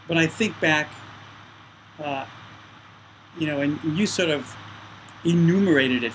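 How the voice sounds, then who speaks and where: A man's voice: fairly high pitch, fairly bright tone, slight background noise, low-quality recording. Rambling, effusive male interviewer. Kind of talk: casual interview.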